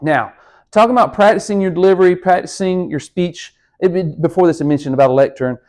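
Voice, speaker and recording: A male college speech instructor recording a lecture from a room with a lectern. His voice sits a little higher than most men's. He talks in a conversational, encouraging way and speaks straight to his students. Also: fast, darkish tone.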